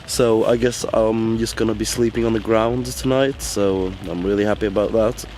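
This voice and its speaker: A tired young man with a hoarse, worn-out voice, chatting casually to the camera in a rambling, halting way. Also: lowish voice, flat delivery, a little mellow, slight background noise. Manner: rambling, halting with many fillers. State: hoarse, lost voice. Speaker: vlogger. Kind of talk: casual vlog chat. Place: in a car.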